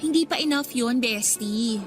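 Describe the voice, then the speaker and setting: A woman's voice: fairly high pitch, normal speed, soft background music, close to an everyday voice. A woman playing an ambitious, driven schoolgirl student leader in a radio drama, talking persuasively and insistently.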